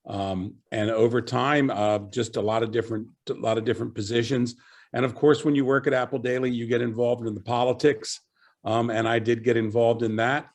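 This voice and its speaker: A man's voice: mid-range voice, a little fast. A middle-aged man from Virginia, a panelist giving a casual, rambling self-introduction over a video call. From Virginia, USA. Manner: relaxed, rambling with many fillers.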